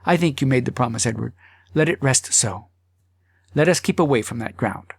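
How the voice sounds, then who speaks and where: A man voicing a devoted, gently reproachful wife in an audiobook, speaking earnestly and with feeling. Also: medium pitch, gravelly, husky, dry recording, studio quality. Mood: troubled, pleading. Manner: earnest, emotional, deliberate. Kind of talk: audiobook character acting.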